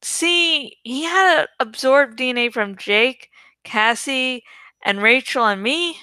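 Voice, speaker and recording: A woman reading a story aloud in expressive narration. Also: high voice, slow pace, smooth, no echo. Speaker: audiobook reader.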